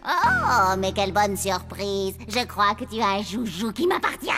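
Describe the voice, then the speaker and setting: A woman voicing a menacing, falsely sweet villainess in a French animated series, theatrical and mock-polite, over music. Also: medium pitch, unhurried. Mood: menacing sweetness. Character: falsely sweet, menacing villainess wanting her doll back. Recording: loud music behind the voice.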